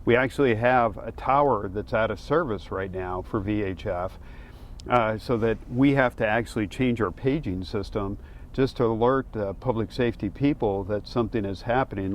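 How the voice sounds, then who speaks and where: Male public safety official, lower register, plain halting explanations, slight background noise. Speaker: fire service official. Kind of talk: explanatory interview answers.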